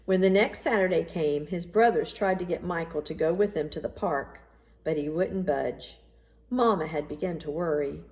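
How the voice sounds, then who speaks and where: A woman reading a children's story aloud in an expressive, deliberate storytelling style. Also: on the low side. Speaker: storybook reader. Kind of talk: scripted children's story narration.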